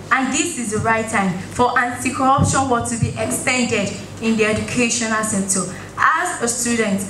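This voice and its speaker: Young Nigerian female student orator, higher-pitched, earnest formal address in a reverberant room. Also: fairly bright tone. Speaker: student speaker. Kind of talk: prepared formal speech. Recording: echoey room, distant mic, faint noise.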